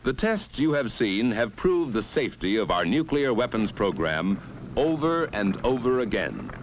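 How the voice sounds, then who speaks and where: An American man reading scripted narration for a mid-century instructional film in an authoritative, measured, emphatic way. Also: mid-range voice, big pitch swings, slight background noise, rough recording.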